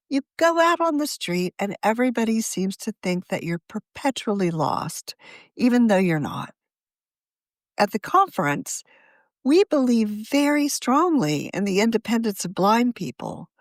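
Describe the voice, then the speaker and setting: A woman who is a magazine deputy editor, reading her own article aloud in a measured, expressive way. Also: mid-range voice, no room sound, clean studio sound.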